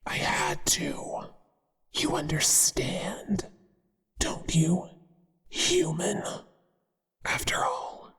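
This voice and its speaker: A performer voicing a possessive male spider monster, a devoted but menacing lover, in a hushed ASMR whisper with a crooning, deliberate delivery. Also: medium pitch, breathy edge, full character voice. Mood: predatory, intense. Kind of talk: whispered ASMR roleplay acting. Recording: long reverberant echo.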